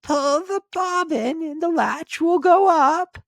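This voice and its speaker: A man voicing a frail, ailing old grandmother in a fairy tale, calling out in a drawn-out falsetto. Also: gravelly, no echo, clean studio sound, full character voice.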